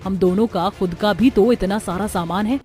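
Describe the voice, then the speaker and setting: A woman's voice: mid-range voice, normal speed, dark tone, faint music behind, natural voice. A woman voicing an aggrieved, argumentative young daughter-in-law in a Hindi family cartoon, with a nagging, indignant manner.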